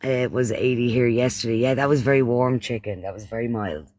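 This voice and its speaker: A man's voice: mid-range voice, fairly even intonation, brisk, a little mellow, dry recording. A man from Ireland with an Irish accent hosting a casual livestream chat, often repeating phrases and using pet names for those he addresses. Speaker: livestream host.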